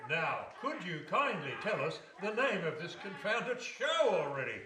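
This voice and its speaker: A man's voice: sits high, big pitch swings, measured pace, bright, forceful tone, full character voice. Casting: flustered male show host played by a man, comic stage radio play, swooping delivery, roomy live recording. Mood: exasperated. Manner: exasperated, theatrical projection. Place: hall stage before a live audience. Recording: distant, echoey hall recording, heavy noise.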